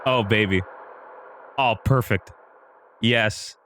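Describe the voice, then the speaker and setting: A man's voice: lowish voice, slow pace, fairly bright tone, slight background noise. A man hosting a live stream with casual chat, rambling and repeating himself as he talks straight to his viewers. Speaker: livestream host.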